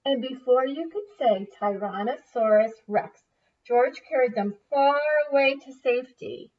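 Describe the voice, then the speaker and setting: A woman reads a picture book aloud like a schoolteacher, with expressive storytelling. Her voice is high, and the recording is distant and a little muffled. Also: measured pace. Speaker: first-grade teacher reading aloud.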